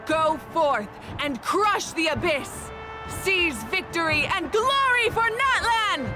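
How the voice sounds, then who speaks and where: Slow, stately warrior leader woman. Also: extremely high pitch, expressive, full character voice. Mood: proud, solemn. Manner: stately, declamatory. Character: solemn, proud, commanding female leader of warriors. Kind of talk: game voice acting. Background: game music.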